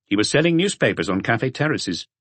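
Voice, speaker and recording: A man reading a mystery audiobook as scripted narration, in a measured storytelling manner. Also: medium pitch, very animated, a bit bright, no echo.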